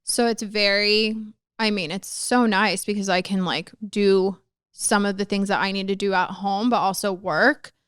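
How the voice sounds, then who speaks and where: Smooth-voiced woman guest, easygoing. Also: medium pitch, normal speed, no room sound, clean studio sound. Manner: relaxed, laughs easily, says like often. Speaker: guest, marketing director. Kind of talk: casual chat. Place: office.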